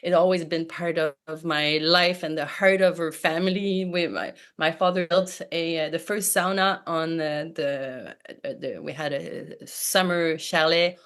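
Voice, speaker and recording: Woman panelist, 40s, lowish voice, halting and thoughtful. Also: steady tone, fairly bright tone. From Quebec, Canada. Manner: hesitant, many fillers and restarts, light laughs. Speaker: panelist, sauna operator. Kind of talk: panel discussion answer.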